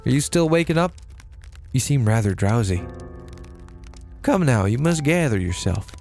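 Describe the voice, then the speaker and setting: A man's voice: mid-range voice, sing-song, moderate pace, gravelly, a little mellow. A formal, devoted young maidservant in a dim mansion, eager yet strangely lifeless, as a man puts her on. Her voice sits well above his own natural register. Faint music plays underneath. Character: pale gloomy young female maid, devoted and polite.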